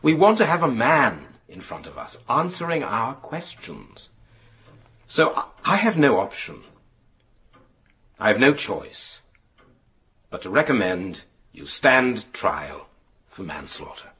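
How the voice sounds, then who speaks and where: An actor playing a formal, probing and regretful inquiry chairman in a radio drama, speaking deliberately in official phrasing. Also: mid-range voice, very animated, sharp tone, exaggerated voice. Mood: regretful, grave.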